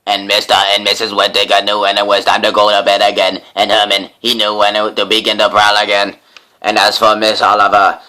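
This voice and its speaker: A man with a low, bright and forceful voice reads a children's storybook. His delivery is theatrical and deliberate, like a narrator giving a dramatic reading. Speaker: storybook reader, host.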